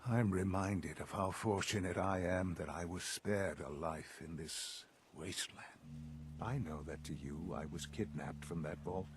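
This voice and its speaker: A man playing an elderly, coldly cerebral leader in a video game, speaking formally and deliberately with weighty pauses. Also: low-pitched, fairly even intonation, a little hiss or hum. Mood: reflective, matter-of-fact. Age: older. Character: elderly, measured, coldly cerebral male leader of secretive institute. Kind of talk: dramatic video game dialogue.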